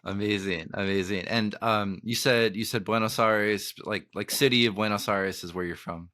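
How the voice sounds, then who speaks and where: A man hosts a podcast and leads a relaxed interview, often pausing on fillers as he asks questions. His voice is low but has a bright, forceful edge. The sound is dry and close, with no room echo. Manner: hesitant, many fillers. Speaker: podcast host.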